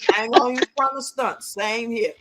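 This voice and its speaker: A woman's voice: on the high side, moderate pace, a loud crowd. A woman of fifty-nine hosting a casual livestream, chatting with viewers in a blunt, outspoken, colloquial way. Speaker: livestream host.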